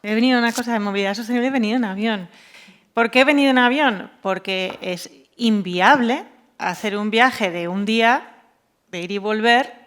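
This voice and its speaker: A woman's voice: a little high, normal speed, fairly bright tone. A woman speaking informally on a panel, colloquial and anecdotal, repeating words for emphasis. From Asturias, Spain. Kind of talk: informal round-table talk.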